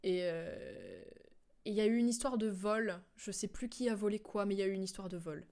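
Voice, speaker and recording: A woman streamer giving casual, informal game commentary, recapping a story haltingly as she recalls it. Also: medium pitch, lively intonation, a little mellow.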